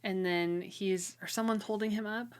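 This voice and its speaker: Woman just chatting thru a fantasy book recap, kinda wry, normal pitched voice. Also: steady tone, moderate pace. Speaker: co-host of a book read-along show. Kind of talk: casual chat, recapping a fantasy novel.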